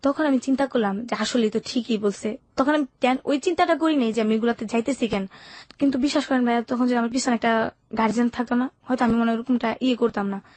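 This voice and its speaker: Fast-talking young Bangladeshi woman telling her story. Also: on the high side, darkish tone, dry recording. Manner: colloquial, recounts events with quoted dialogue. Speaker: interview guest telling her life story. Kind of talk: candid personal storytelling in conversation.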